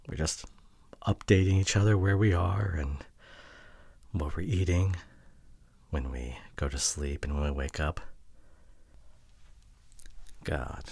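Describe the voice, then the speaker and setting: Deep-voiced hurt boyfriend, acted by a man. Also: creaky, dry recording, full character voice. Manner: hesitant, halting, sighing delivery with soft drops. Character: hurt, introverted boyfriend, awkward and apologetic. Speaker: voice actor. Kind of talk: ASMR boyfriend roleplay audio drama. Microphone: close microphone, dry.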